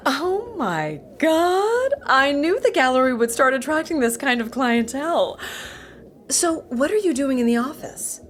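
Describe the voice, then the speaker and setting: A woman's voice: high voice, sing-song, unhurried, background noise. A woman playing a nosy, self-satisfied big boss in a sitcom podcast, theatrical and confiding. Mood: excited, confiding.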